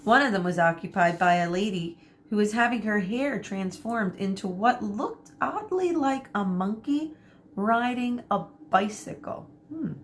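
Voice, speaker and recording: A woman teacher reading a children's book aloud, pausing to explain words and giving the characters lively voices. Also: medium pitch, slight background noise. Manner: explains words for kids mid-story.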